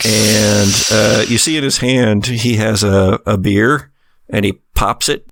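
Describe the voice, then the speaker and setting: A man runs a horror tabletop game as game master. His voice is fairly low with heavy fry, and it is recorded very dry. He goes haltingly, feeling for his words. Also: no echo.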